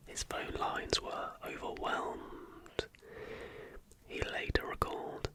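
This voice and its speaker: An ASMR host reading scripted narration in a breathy, unvoiced whisper, phrase by measured phrase.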